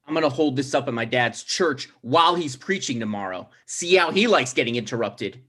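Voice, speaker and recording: Male actor as self-righteous mayoral candidate son; lively swinging intonation, mid pitch, comedy table read. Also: a little fast. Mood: defiant.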